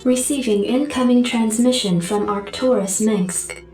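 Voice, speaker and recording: An actress voicing a calm female computer adjutant who delivers clipped status reports in a video game. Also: on the high side, a little slow, faint music behind, lightly acted. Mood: reporting. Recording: echoey, distant comms sound.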